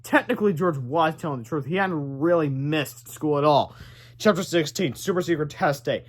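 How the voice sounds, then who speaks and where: A man's voice: a little high, normal speed. A man narrating a children's storybook aloud as its reader.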